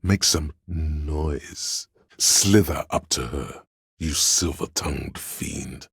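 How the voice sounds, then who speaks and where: An actor voicing a sly, theatrical inner voice in a video game, counselling his liege in grandiose, measured, gravelly and husky narration. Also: a bass voice, no echo, clean studio sound, full character voice. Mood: sly, urging.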